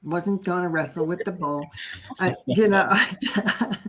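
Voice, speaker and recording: A woman's voice: on the low side, measured pace, a bit bright, slight background noise. Hesitant woman with a New Jersey accent. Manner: hesitant, many fillers. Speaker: astrologer guest. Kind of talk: casual chat.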